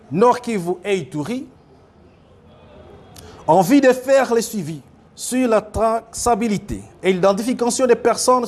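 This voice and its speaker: A man with a Congolese French accent, formally reading out a declaration as a spokesman in a halting, deliberate way. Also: high voice, a little mellow. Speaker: spokesman reading a youth group's statement.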